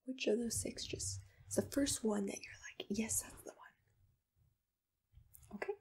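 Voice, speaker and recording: A woman softly whispering in a gentle, reassuring, patient way, asking questions as a matchmaker in an ASMR roleplay, close to the microphone. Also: fairly high pitch, unhurried. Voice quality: soft breathy whisper. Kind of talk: ASMR personal-attention roleplay, unscripted interview questions.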